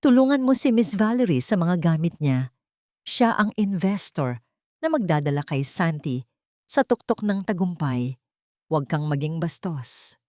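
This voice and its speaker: A woman's voice: on the low side, big pitch swings, a little slow, a little mellow, no room sound, studio quality. A woman narrator playing a bossy, two-faced older mother-in-law who orders and scolds. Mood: bossy, scolding. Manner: commanding, scolding.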